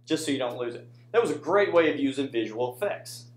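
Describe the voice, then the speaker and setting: A man's voice: medium pitch, animated, fast. A man with an American accent giving an informal presentation to an audience, talking conversationally and often checking in with listeners. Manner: conversational, addresses audience with frequent "right?" tags. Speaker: student presenter, soldier. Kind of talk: informal classroom presentation with slides. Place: indoor room with audience. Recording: echoey room.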